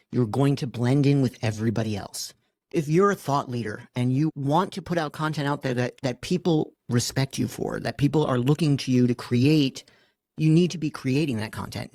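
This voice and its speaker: A man's voice: medium pitch, a little mellow, dry recording. A man co-hosting a podcast, teasing his partner and stating firm opinions in a dry manner. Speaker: podcast co-host. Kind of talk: casual chat.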